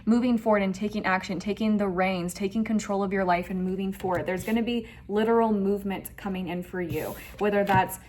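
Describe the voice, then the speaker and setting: A woman's voice: medium pitch, fairly even intonation, a little fast, hardly any pauses, a little mellow. A woman giving a spiritual tarot reading to viewers, speaking in an effusive, emphatic way and often repeating phrases. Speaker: tarot reader hosting an online reading.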